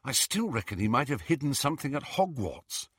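A man's voice: medium pitch, sing-song, a little fast, a bit bright, slightly rough, dry recording, clean studio sound, pitched above the performer's own voice. A man voicing an earnest, stubborn, argumentative teenage boy wizard in an audiobook. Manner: insistent, argumentative. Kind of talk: audiobook character dialogue.

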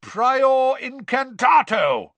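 Storybook hot-tempered male wizard official, done by a male reader: middle-aged, gruff, blustering, hugely varied pitch. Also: big pitch swings, unhurried, a bit bright, no room sound, exaggerated voice. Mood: roaring, triumphant. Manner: growling, roaring, blustering.

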